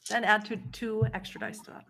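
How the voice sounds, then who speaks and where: A woman's voice: mid-range voice, little pitch movement, fairly quick, vocal fry, noisy background. A woman speaking as game master of a streamed tabletop roleplaying session, agreeing readily with players.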